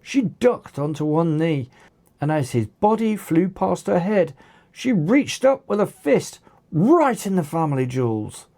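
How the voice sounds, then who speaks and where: A narrator reading an action story as its author, with animated, dramatic delivery and acted dialogue. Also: medium pitch, unhurried, vocal fry. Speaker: author narrating own book.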